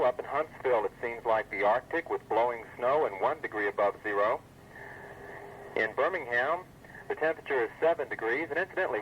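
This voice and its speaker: A man reading a scripted weather forecast as an announcer on an old weather radio broadcast. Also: mid-range voice. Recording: muffled, noisy 1980s weather radio broadcast.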